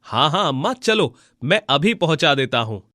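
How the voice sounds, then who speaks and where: A man voicing a kind, humble young village husband in an animated moral story, polite and earnest. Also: on the high side, moderate pace, no room sound, clean studio sound. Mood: helpful.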